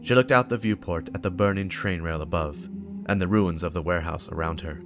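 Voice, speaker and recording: Low-key man narrating over music. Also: a little fast. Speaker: narrator and channel host. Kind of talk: dramatic lore reading, scripted narration. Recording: music behind the voice.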